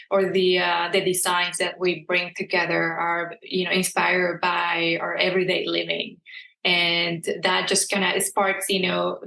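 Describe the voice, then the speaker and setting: A woman with a Mexican accent, a guest interior designer in a casual interview, hesitating often and filling pauses with "you know". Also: on the low side, gravelly, fairly bright tone. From southern Mexico. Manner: frequent "you know" fillers, hesitant restarts. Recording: echoey room.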